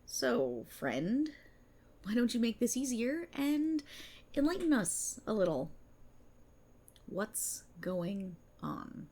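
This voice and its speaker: Woman acting an animated, husky, exasperated policewoman. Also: fairly high pitch, slow pace, long pauses, heavily acted. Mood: probing, wry. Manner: sarcastic, indignant, theatrical. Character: exasperated, self-aware policewoman fed up with her script. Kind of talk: ASMR audio roleplay monologue, dramatic acting.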